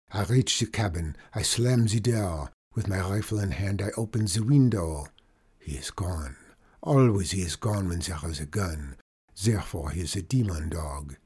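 A man voicing a superstitious French trapper with a French accent, telling a ghost tale in a hushed, dramatic whisper. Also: low voice, breathy edge, pitched below the performer's own voice, full character voice. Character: superstitious male French trapper, fearful storyteller.

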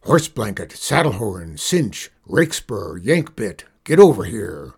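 A man acts out a bullying cowboy gang boss for a Western storybook. The voice is husky and growling, and the delivery is a drawling, folksy bark of command. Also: on the low side, sing-song, normal speed, exaggerated voice. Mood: taunting, commanding. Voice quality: growling, rough.

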